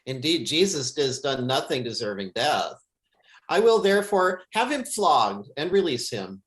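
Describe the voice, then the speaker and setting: Animated scripture reader with swooping pitch. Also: unhurried. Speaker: pastor reading scripture. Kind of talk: dramatic scripture reading for worship.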